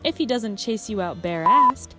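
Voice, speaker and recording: A woman's voice: fairly high pitch, lively intonation, normal speed, natural voice. A woman playing a sly, prim housewife giving sweetly confiding homemaking advice in a 1940s radio parody. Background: music.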